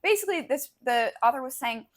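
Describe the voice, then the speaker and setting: A woman's voice: sits high, lively intonation, fast. A young woman co-hosting a podcast, chatting casually in a rambling, slangy way peppered with "like". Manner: slangy, rambling, hedging with frequent "like". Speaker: podcast co-host. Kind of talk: casual conversational podcast chat.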